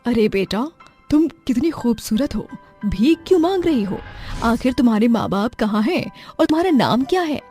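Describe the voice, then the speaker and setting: An actress plays a kind mother figure in a cartoon tale. Her voice sits a little high and sounds tender and concerned. Music plays behind her. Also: very animated, moderate pace, warm tone. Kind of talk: cartoon story voice acting.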